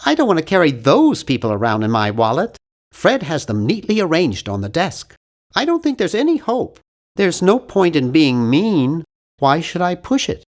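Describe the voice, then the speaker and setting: A man's voice: fairly high pitch, huge pitch swings, moderate pace. An actor playing a nerdy, earnest, awkward young man in an adventure game, with a geeky, fussy, wryly polite delivery. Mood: dry, self-conscious. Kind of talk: scripted video game voice lines.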